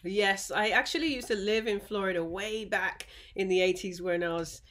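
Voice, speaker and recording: A woman hosting an interview over a video call from home, upbeat and quick to laugh, with short encouraging replies. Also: mid-range voice, fairly bright tone, slight background noise. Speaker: interview host. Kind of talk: casual interview chat. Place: home room.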